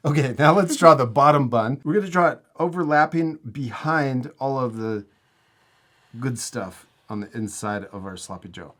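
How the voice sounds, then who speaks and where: A man's voice: mid-range voice, moderate pace, fairly bright tone. A man hosting a casual step-by-step art tutorial, giving encouraging, simple instructions for children. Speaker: host teaching drawing to children.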